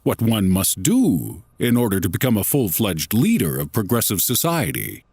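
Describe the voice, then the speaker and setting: A man reading a scripted horror story in a deliberate, dramatic, literary manner. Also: lowish voice, big pitch swings, darkish tone, slightly rough, no echo. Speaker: narrator.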